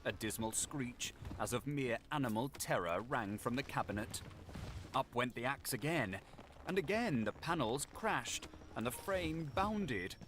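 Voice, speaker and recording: A man narrating a gothic audiobook in a measured, literary, dramatic delivery. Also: medium pitch, lively intonation, fairly bright tone, a little hiss or hum.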